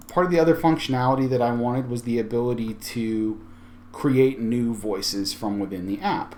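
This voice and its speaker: A man presenting a software tutorial in a casual, conversational screencast style, explaining each step and thinking aloud as he goes. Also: medium pitch.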